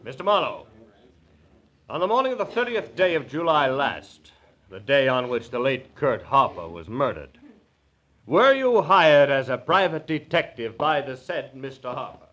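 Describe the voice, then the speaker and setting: A man playing a formal, forceful state prosecutor in a declamatory 1940s radio drama style. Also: mid-range voice, sing-song, moderate pace, dull audio. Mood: formal, probing. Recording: old radio recording with faint noise.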